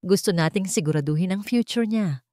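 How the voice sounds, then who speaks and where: A woman's voice: fairly low pitch, fairly quick, mellow, no echo, clean studio sound, close to an everyday voice. Woman acting a nervous, pleading husband. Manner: hesitant, pleading. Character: weak, nervous, deceitful husband pleading with his wife.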